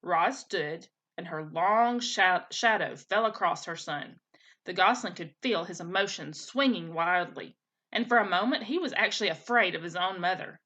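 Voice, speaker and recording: A woman teacher reading a children's storybook aloud in an expressive way. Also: lowish voice, moderate pace.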